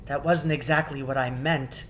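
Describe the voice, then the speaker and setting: A man's voice: medium pitch, fairly even intonation, normal speed, natural voice. Man voicing a hesitant, anxious young girl. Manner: hesitant, hushed.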